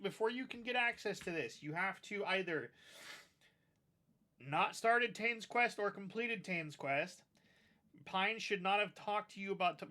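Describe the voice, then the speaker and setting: A man's voice: fairly high pitch, pushed and bright. A man commenting casually on his game playthrough, sounding sinusy from allergies, rambling and swearing mildly. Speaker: gaming video host. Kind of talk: casual let's-play commentary.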